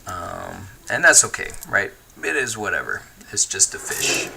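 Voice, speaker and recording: A man hosting a livestream, chatting casually and reading viewer comments in a relaxed, easygoing way, laughing often and thinking aloud with fillers. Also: deep voice, unhurried, vocal fry, a little hiss or hum, rough recording. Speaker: livestream host.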